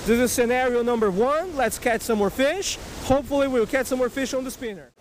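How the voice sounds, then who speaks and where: High-voiced man hosting an outdoor fishing tutorial. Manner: animated, repeatedly checks in with "right?" and "okay?". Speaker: fishing video host. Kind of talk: casual tutorial commentary to camera. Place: outdoors beside a rushing creek. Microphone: body-worn action camera. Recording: outdoor noise of rushing water. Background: rushing water.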